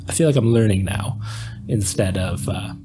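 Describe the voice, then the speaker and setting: A man chatting casually on a podcast, speaking in a halting, reflective way. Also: on the low side, noisy background. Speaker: podcast panelist.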